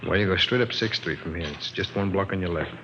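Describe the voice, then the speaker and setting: A man's voice: low-pitched, quick pace, natural voice. An actor in an old radio crime drama playing a sleazy, chummy peddler with slangy, wheedling salesman patter. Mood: chummy, dealing. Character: sleazy, chummy male peddler of illicit picture books. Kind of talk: 1950s radio drama acting. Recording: dull, very noisy old radio broadcast.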